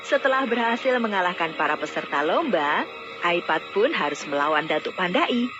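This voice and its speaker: A woman's voice: fairly low pitch, lively intonation, normal speed. Woman storyteller over music, dull sound. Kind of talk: scripted fairy tale narration. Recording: dull lo-fi audio, music bed. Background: background music.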